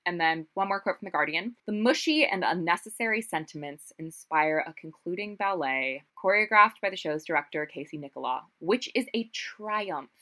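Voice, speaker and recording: A woman co-hosting a casual, opinionated chat about musical theater, talking in an animated, slangy way. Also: fairly low pitch, moderate pace, hardly any pauses. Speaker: podcast co-host.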